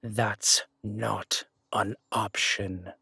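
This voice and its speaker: A steady detective who protects the one he loves, acted by a man, speaking slowly in a husky, mid-pitched voice. He soothes and pleads rather than shouts, close to a dry mic. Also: dry recording, heavily acted. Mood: weary. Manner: soft and reassuring, pleading, peacemaking. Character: steady, protective male detective, tender with his partner. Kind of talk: audio roleplay drama acting.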